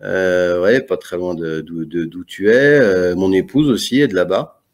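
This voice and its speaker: A man's voice: low voice, moderate pace, a little mellow. A man, a guest on a live video call, talking hesitantly with many fillers and jokes. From Paris region, France. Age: 40s. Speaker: YouTube channel guest. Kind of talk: casual live video chat. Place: indoor room with arcade cabinet.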